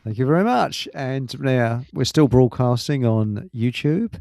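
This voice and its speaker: A man's voice: medium pitch, animated, a little slow, vocal fry, warm tone, dry recording. A man hosting a live radio show in casual, rambling chat, often muttering to himself.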